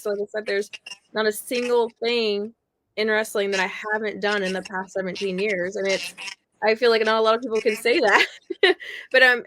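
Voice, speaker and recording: A woman's voice: mid-range voice, poor audio. A woman from Oklahoma in her thirties, a wrestling ring announcer, chatting in a podcast interview and telling stories with frequent laughs. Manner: chatty, laughs often, animated storytelling.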